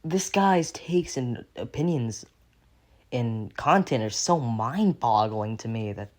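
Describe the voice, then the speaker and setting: Slow, halting young man, exasperated. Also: mid-range voice, lively intonation. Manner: halting, repetitive, groping for words; exasperated, sweary. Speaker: video commentator. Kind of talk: unscripted critical rant.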